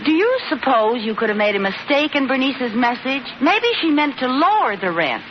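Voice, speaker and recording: Lively, wisecracking radio housewife. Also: female voice, high-pitched, normal speed. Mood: scheming. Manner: teasing, wisecracking. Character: playful, scheming, talkative young housewife. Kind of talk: 1940s radio comedy acting. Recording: muffled, noisy old radio recording with audience.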